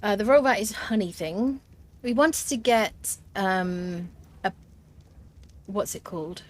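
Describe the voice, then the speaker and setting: A woman's voice: mid-range voice, measured pace. A woman streaming a survival game, chatting as she plays, thinking aloud with fillers and answering her viewers' comments. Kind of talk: live gameplay commentary.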